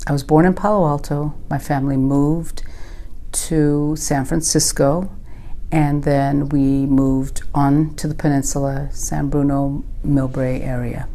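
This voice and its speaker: Low gravelly woman, 50s, first language Sicilian, reflective oral history interviewee. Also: steady tone, a little slow, warm tone. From California. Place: small room at home with a bookshelf.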